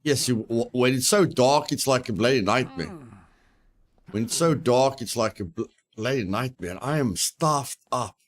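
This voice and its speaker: A man's voice: medium pitch, lively intonation, unhurried. A man streaming a survival game, giving live commentary, repeating his phrases and yelping with mild exclamations when startled.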